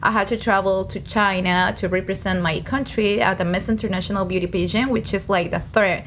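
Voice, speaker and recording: Nicaraguan-accented woman chatting, mid pitch. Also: fairly even intonation, brisk, fairly bright tone. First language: Spanish. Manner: rambling, informal. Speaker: talk show guest. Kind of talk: casual chat. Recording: noisy live webcast.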